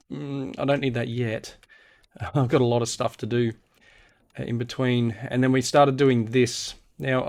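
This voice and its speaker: A man who is unwell, husky and a little nasal, giving a casual video game walkthrough as host and thinking aloud as he goes. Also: mid-range voice. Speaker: host of a gaming series.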